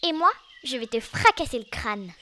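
A woman voicing a bratty, loud, defiant little girl in a children's story, brash, cheeky and sing-song. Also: extremely high pitch, expressive, fast, slight background noise, voice pushed up.

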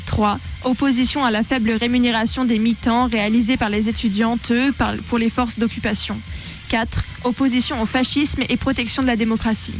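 A woman's voice: a little high, steady tone, moderate pace. A woman reading out a scripted numbered list as a co-reader on a radio programme. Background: music.

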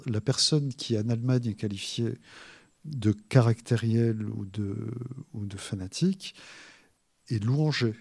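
Husky, gravelly man explaining slowly. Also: medium pitch, warm tone, no echo. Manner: hesitant, many filler pauses and restarts. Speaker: author discussing his book. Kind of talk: thoughtful spoken explanation.

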